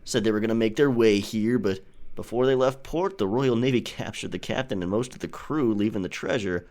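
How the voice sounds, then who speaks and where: A man's voice: on the low side, fairly quick, a little mellow, close to an everyday voice. A man playing a charming, flirtatious pirate captain in a romantic audio roleplay, teasing and tender as he speaks to his lover. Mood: explaining.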